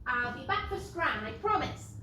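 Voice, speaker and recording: A woman plays a sweet, scatterbrained, clumsy pantomime girl in a high voice. She is picked up from far away in an echoing space. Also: sing-song, moderate pace, bright, forceful tone, soft background music, heavily acted. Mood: pleading, chatty. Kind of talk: stage pantomime acting. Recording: echoey stage, faint noise, far off.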